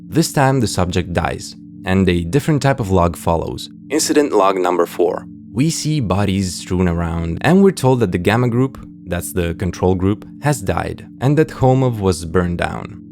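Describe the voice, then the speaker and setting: A man hosts a scripted video essay in a low voice with noticeable creak. He is understated and wry, dropping casual asides, with faint music underneath. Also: a little mellow.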